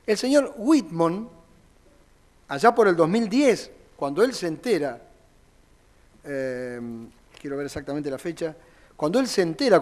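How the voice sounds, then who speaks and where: High-voiced lawyer arguing in court. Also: male voice, darkish tone. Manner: formal, reads documents aloud at length. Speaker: defense lawyer. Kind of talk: courtroom closing argument.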